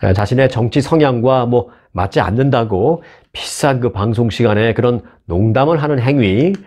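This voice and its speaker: A man from Seoul hosts a news commentary show in a relaxed, talkative way. He explains the stories with asides and rhetorical questions, as if chatting with his viewers. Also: medium pitch, moderate pace. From Seoul, South Korea.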